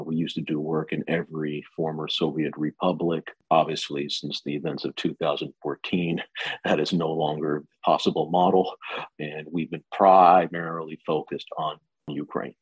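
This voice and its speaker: An American man answering interview questions about his career, speaking in a measured way with frequent fillers and restarts. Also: very deep voice, slightly rough, no room sound, rough recording. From United States. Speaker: guest, lawyer.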